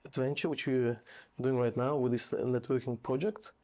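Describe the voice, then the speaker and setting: A man's voice: medium pitch, steady tone, normal speed, warm tone. A man, asking questions as a podcast host in a casual interview.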